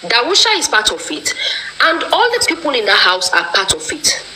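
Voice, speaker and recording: A woman's voice: on the high side, wildly swooping pitch, moderate pace, pushed and bright, a little hiss or hum, low-quality recording. Passionate Nigerian woman quoting proverbs. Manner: passionate, accusatory, uses proverbs. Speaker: discussion participant. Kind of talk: casual online discussion.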